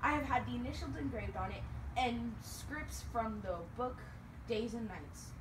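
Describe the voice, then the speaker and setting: High earnest actress as dreamy emotional young woman, stage play, noisy and far off. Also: measured pace, roomy sound, natural voice. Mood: tender. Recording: noisy distant stage recording.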